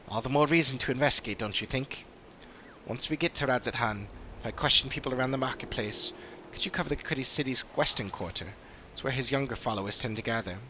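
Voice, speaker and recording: A man voicing an earnest, articulate investigator from a video game, speaking in a measured, formal way. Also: medium pitch, background noise, lightly acted. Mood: concerned, planning. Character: earnest, articulate investigator ally briefing a comrade. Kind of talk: scripted video game dialogue. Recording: game music behind voice.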